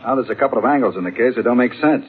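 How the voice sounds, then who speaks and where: On a tinny vintage radio recording, a husky actor plays a hard-boiled detective. He is blunt, clipped and wry, needling his suspect in classic crime-drama manner. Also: mid-range voice, very fast, lightly acted. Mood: needling, suspicious. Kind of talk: old-time radio crime drama acting.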